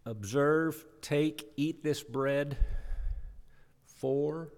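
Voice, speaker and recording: A man's voice: a little high, very slow, darkish tone. A middle-aged man preaching a sermon from scripture at a church pulpit, emphatic, repeating phrases for weight. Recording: reverberant church hall sound.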